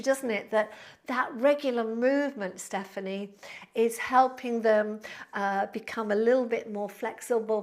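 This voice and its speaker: A woman of sixty, a Pilates instructor chatting on a live stream, thanking viewers by name in a friendly, rambling way with fillers. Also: on the high side. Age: 60s. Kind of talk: live-stream chat reading out viewer comments.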